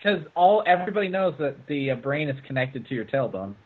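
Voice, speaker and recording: Lively, husky man on a narrow line. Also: fairly high pitch, brisk. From Canada. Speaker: movie commentary host. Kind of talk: casual riffing commentary with friends. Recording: phone-like internet call.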